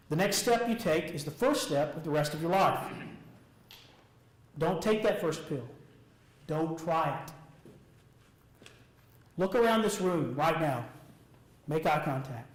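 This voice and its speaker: A man delivering a prepared, earnest motivational speech to an audience in a deliberate way, with short emphatic phrases and pauses. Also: on the high side. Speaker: public speaker. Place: indoor room before an audience. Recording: roomy echo of a speech venue.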